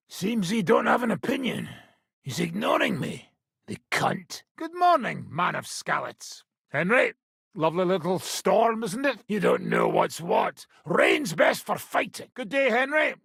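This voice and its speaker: Brash, swearing medieval game mercenary: British, gravel-throated, high-pitched for a man, forceful delivery. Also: wildly swooping pitch, a little slow, no echo, clean studio sound, full character voice. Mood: hearty greeting. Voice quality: rough, raspy, gravelly voice. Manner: coarse, brash, swearing banter. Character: foul-mouthed, boozy, boisterous male medieval mercenary fighter. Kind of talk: video game character voicelines.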